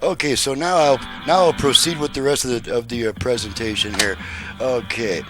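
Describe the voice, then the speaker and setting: A man talks through a repair demonstration in an informal, halting way, thinking aloud. His voice sits at an average pitch, and there is clear music behind him. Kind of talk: casual product demonstration.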